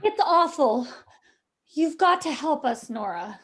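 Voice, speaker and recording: Woman playing a high-voiced, fearful young bride. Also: animated, slow, full character voice. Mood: frightened, pleading. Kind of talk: radio play acting.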